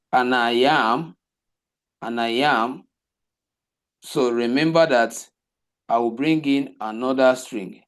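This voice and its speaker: Man, web dev teacher, slow delivery, step-by-step rhetorical explaining. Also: mid-range voice. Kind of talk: live coding class walkthrough.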